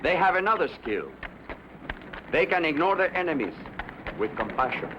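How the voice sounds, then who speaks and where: A gentle, philosophical Western villager voiced by a man, earnest and measured in his formal phrasing. His slightly high voice moves widely in pitch, over a muffled recording. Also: very animated. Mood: earnest, reflective. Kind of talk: dramatic dialogue in a Western. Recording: muffled lo-fi, loud background noise.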